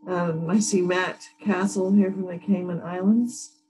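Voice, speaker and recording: A woman in her thirties hosting a training workshop over a video call, warmly introducing each participant in turn. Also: on the low side, fairly even intonation, unhurried, slight background noise, rough recording. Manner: friendly, thanks each speaker. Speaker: workshop host.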